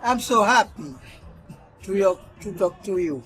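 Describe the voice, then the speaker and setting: Haitian accent man, middle aged, slow halting english, super high pitched, bit of music behind. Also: animated. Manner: halting non-native English. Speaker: local interviewee. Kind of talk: casual street chat.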